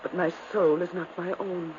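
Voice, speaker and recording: An actress playing a dying, delirious twin sister, intense and pleading in 1950s radio drama style. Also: female voice, on the low side, flat delivery, normal speed, natural voice. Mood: bleak, haunted. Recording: muffled, hissy 1950s radio recording.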